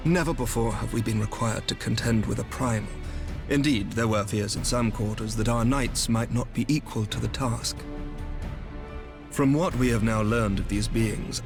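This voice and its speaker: An actor playing a composed, courtly knight commander in a fantasy game, speaking formal, measured archaic English. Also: male voice, mid-range voice, creaky, natural voice. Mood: courteous gratitude. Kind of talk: scripted fantasy game dialogue.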